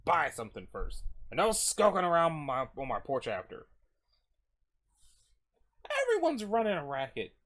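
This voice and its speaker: A performer voicing a gruff, suspicious, weary shopkeeper from a comic, with a curt grumbling manner. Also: mid-range voice, wildly swooping pitch, moderate pace, pauses often, a bit bright, pitched above the performer's own voice, exaggerated voice. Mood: irritated, grudging.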